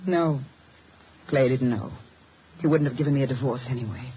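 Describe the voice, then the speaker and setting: An actress playing the defensive, anguished wife of a murder suspect, dramatic and evasive, in a 1950s radio drama. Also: female voice, rumbling low, normal speed, crowd noise. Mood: evasive, reluctant. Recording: muffled, hissy 1950 radio recording.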